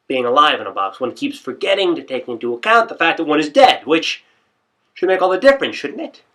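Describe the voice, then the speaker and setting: A man performing a comic theatrical monologue as a neurotic, morbid muser, rambling in an excitable way. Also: mid-range voice. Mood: morbid musing. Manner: excitable, rambling, escalating to shouting. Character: neurotic, morbidly musing man working himself frantic.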